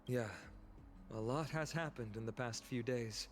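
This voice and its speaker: An actor playing a kind, earnest young male hero in a game, speaking calmly and reassuringly. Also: medium pitch, a little slow, soft background music, close to an everyday voice. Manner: calm, reassuring.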